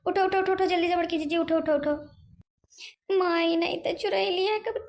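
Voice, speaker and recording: A woman voicing an anxious, excitable younger village sister in a cartoon comedy, with an Awadhi accent. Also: very high-pitched, moderate pace, gravelly. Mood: alarmed. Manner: excitable, fretful.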